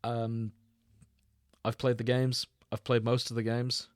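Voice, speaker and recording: A man's voice: mid-range voice, completely flat delivery, a little slow, clear, resonant tone, no room sound, clean studio sound. Male podcast host, mid-range voice, informal banter, very little room sound. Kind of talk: casual banter between co-hosts.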